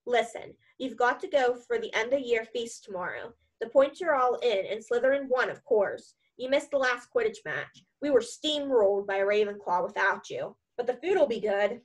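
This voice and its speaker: A woman's voice: on the high side, animated, normal speed, few pauses. A woman voicing a loyal, cheery schoolboy friend in a storybook, blunt and slangy. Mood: chatty, proud.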